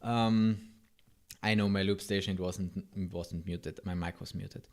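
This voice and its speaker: A man's voice: sits low, fairly even intonation, unhurried. A man with a German accent whose first language is German, streaming a live coding session and chatting with his viewers in a rambling, self-interrupting way. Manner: rambling, self-interrupting, answers chat with asides. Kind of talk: casual live coding stream, reading chat.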